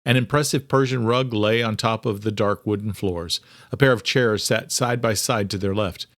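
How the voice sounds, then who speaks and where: A man's voice: fairly low pitch, moderate pace, a bit bright, no echo, clean studio sound. A man narrating a thriller audiobook from a script.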